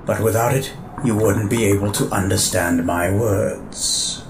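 A vain alien warlord in a science fiction audio drama. He speaks slowly in a lowish voice, savouring his words with theatrical menace over a faint bed of ambient noise. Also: steady tone. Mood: disdainful musing. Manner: theatrical, musing, grandiloquent. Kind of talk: audio drama villain acting.

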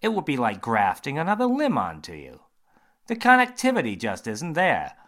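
A man voicing an eager, grinning male surgeon who talks brightly and bluntly. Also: mid-range voice, huge pitch swings, pitched above the performer's own voice. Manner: bright, emphatic, quick.

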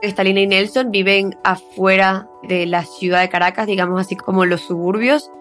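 A woman's voice: medium pitch, flat delivery, moderate pace, a bit bright, soft background music. A woman reporter explaining a story conversationally, with frequent hesitations and restarts.

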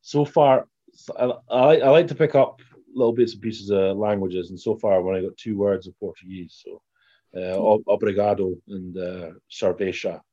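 A man chatting casually in a hesitant, halting way. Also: lowish voice, steady tone, warm tone. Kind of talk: casual chat. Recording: dull, muffled lo-fi sound.

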